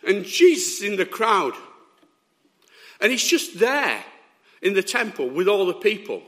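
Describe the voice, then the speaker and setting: A man preaching a sermon with a hoarse, sore throat, emphatic and often checking that his listeners are following. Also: sits high, very animated, a little slow, fairly bright tone.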